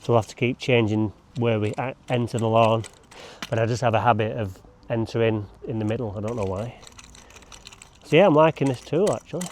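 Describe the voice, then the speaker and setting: A man's voice: medium pitch, fairly quick, darkish tone, a little hiss or hum. Man, lawn-care vlogger, chatty and rambling, cracks jokes mid-task, outdoors with faint ambience. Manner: rambling, joking asides to camera. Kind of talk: casual chat while working.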